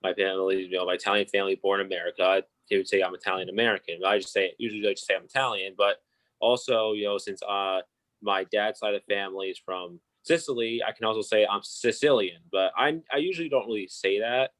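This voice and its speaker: Hesitant low-voiced young man, many ums. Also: fairly even intonation, quick pace, a bit bright, low-quality recording. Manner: hesitant, lots of ums and uhs. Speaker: podcast co-host. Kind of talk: casual discussion.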